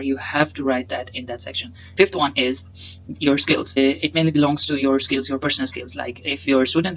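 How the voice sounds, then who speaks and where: A young man whose first language is Punjabi, giving a webcam lesson and explaining slides in a halting way, full of fillers and repeated words. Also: mid-range voice, a bit bright, highs cut off, a little hiss or hum. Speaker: instructor. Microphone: webcam in a corner inset.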